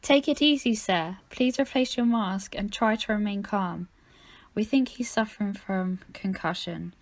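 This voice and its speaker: Woman as calm polite paramedic in a radio play, medium pitch, no room echo. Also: a little slow, lightly acted. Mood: calm, reassuring. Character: soothing female paramedic at an accident. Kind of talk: amateur radio play acting.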